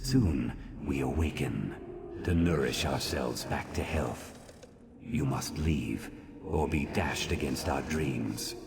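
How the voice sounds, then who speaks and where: Ancient dreaming tree spirit for a fantasy game, bottomless gravelly bass, solemn, long reverb. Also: male voice, slow, heavily acted. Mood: solemn, benevolent. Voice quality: deep, gravelly. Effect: reverberant echo. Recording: game audio, long echo, loud music.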